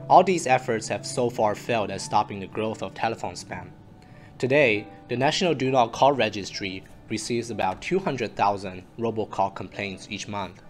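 A man narrating a scripted research presentation in a measured, formal way, as if reading. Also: lowish voice. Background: instrumental music.

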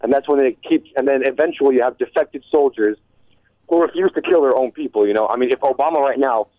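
A young man calling in to a talk radio show, rushing through his points with frequent restarts. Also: mid-range voice, dry recording. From United States. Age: young adult. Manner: rapid, restarts mid-sentence. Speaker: caller. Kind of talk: radio call-in conversation. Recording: tinny phone line.

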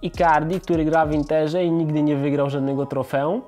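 Young male guest, animated anecdote teller, faint music underneath. Casual, chatty. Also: fairly high pitch, a little fast. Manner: lively storyteller, laughs often, repeats "dokładnie". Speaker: footballer guest. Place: living room set.

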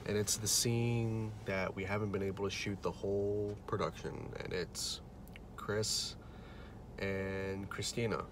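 A man's voice: fairly low pitch, fairly even intonation, measured pace, noisy background. A young man with a cold talks casually to a phone camera in a parked car, offhand and joking about his film shoot. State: stuffy nose from a cold. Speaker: film director. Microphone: phone held at arm's length.

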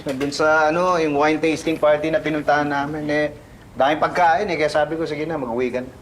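A man's voice: on the high side, fairly even intonation, fast talker, slight background noise, lightly acted. An actor playing a wealthy water-company owner who hesitates and fills his talk with ums. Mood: hesitant. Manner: hesitant, filler-heavy, laughs nervously.

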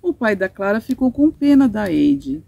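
A woman's voice: medium pitch, big pitch swings, moderate pace, creaky, warm tone, no echo. A middle-aged woman with a Brazilian Portuguese accent reading a children's story expressively and in simple, explanatory terms. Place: outdoors in a sunny garden patio, seated.

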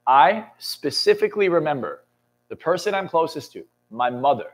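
A man's voice: a little high, moderate pace. A man in his twenties, a motivational speaker and coach, talking emphatically in a studio interview and swearing often. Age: 20s. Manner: intense, emphatic, swears often, builds to excited peaks. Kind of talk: motivational talk in a podcast interview. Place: indoor studio lounge set.

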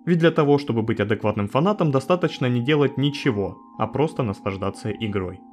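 A man's voice: mid-range voice, animated, fast talker, faint music behind. A man giving a scripted video narration in a wry, joking, informal way, with some swearing.

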